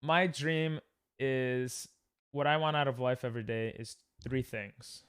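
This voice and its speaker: A man's voice: mid-range voice, fairly even intonation, unhurried, fairly bright tone, smooth, no echo, clean studio sound. A man hosting a livestream, chatting casually with a group of friends.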